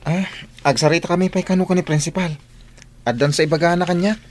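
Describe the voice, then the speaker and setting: A man plays a humble, earnest schoolboy with a fairly high voice. He has an Ilocano Filipino accent and a polite delivery in radio drama style. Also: steady tone, quick pace, lightly acted. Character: earnest, humble teenage male student, top of class.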